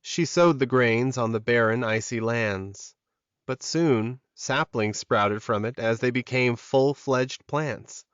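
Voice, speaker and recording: A man's voice: medium pitch, slow, clean tone, dry recording, highs cut off. Dry-sounding male audiobook narrator. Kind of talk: scripted dramatic story narration.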